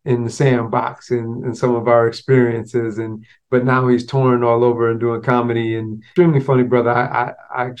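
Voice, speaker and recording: A man talks through his own solo podcast at an even, mid-range pitch. A slight stutter makes him repeat and restart words, and he mixes street slang with business vocabulary. The recording sounds a little dull. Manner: conversational, street slang mixed with business terms. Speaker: podcast host. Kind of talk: casual solo podcast talk.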